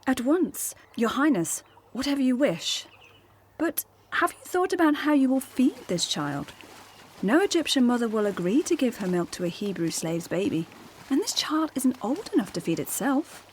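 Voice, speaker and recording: An actress playing a dutiful young maid to a princess in a radio drama, deferential and eager. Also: female voice, a little high, big pitch swings, moderate pace, slight background noise. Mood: cautioning.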